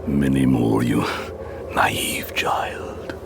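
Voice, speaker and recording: An actor playing a menacing, taunting male vampire in a radio drama, with a gravelly voice and terse, commanding delivery. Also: extremely low pitch, steady tone, extremely slow, heavy background noise, exaggerated voice.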